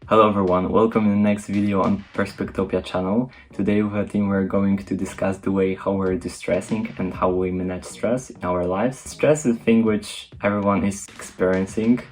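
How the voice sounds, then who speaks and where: A man's voice: low voice, level tone, moderate pace, hardly any pauses, soft background music. A seventeen-year-old man from Poland with a Polish accent, hosting a casual talk. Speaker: video host. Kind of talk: casual discussion to camera.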